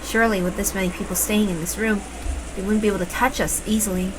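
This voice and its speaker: A woman reading a visual novel aloud as expressive first-person story narration, with emphatic openings to phrases. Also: medium pitch, quick pace. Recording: noticeable background noise.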